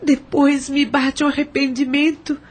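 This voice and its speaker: Lady playing a guilt-ridden brazilian mom, pretty high voice, radio play confession. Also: little pitch movement, a little slow. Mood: troubled, remorseful. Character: troubled, remorseful adult woman, estranged mother confessing. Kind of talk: audio drama acting.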